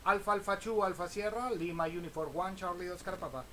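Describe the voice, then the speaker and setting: High-pitched Argentine man on ham radio. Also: fairly quick, bright, forceful tone. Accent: Buenos Aires Argentine Spanish. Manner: spells call signs in phonetic alphabet. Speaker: amateur radio operator, channel host. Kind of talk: casual ham radio contact. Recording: faint noise behind voice.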